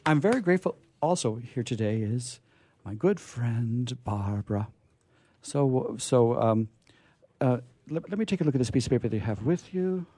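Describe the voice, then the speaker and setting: A man's voice: medium pitch, lively intonation, normal speed, mellow, breathy edge. A man hosting a radio show off the cuff, effusive, jokey and rambling. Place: radio studio.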